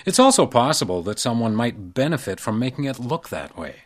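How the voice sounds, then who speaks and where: An actor playing a blunt, loyal ex-drunk hotel detective, dry and probing, in a radio detective drama. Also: male voice, mid-range voice, sing-song, a little fast, no room sound. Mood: needling, probing. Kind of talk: radio detective drama acting with noir narration.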